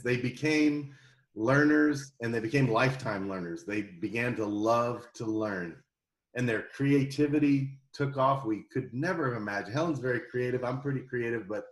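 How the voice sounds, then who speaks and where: Middle-aged male interview guest, mid-pitched, animated conversational storyteller. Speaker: guest, homeschooling parent.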